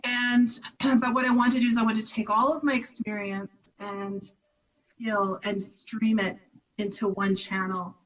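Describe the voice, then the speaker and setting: A woman's voice: on the high side, level tone, measured pace. Reflective Canadian woman, distant muffled mic. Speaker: guest, charity director. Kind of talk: conversational interview.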